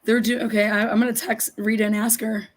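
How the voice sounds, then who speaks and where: A middle-aged woman hosting a casual livestream, hoarse and raspy, reading viewer comments and ranting bluntly with lots of swearing. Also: fairly high pitch, little pitch movement, fast talker. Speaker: livestream host.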